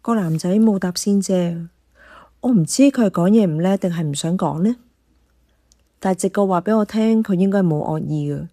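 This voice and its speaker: A woman's voice: medium pitch, warm tone, close to an everyday voice. A woman narrating a radio drama in the first person as an observant café worker, in a scripted storytelling style.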